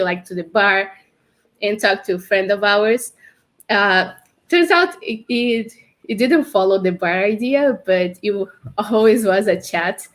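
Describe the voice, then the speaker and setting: A young woman from Brazil with a Brazilian Portuguese accent, chatting casually as a guest on a livestream interview over a video call, laughing often. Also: mid-range voice. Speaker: guest, developer advocate.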